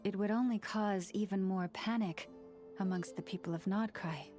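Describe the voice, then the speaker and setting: Woman as a solemn priestess, mid-pitched, over music. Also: darkish tone, natural voice. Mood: grave. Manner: formal, measured, earnest. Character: solemn, resolute young priestess leading a faith. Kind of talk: game voice acting. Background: game music.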